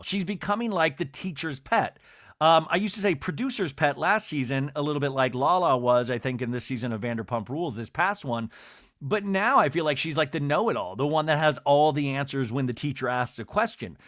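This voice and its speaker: A man hosting a solo podcast that recaps reality TV, talking in a lively, rambling, sarcastic way and swearing now and then. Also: fairly high pitch, moderate pace, no room sound, clean studio sound. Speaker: podcast host.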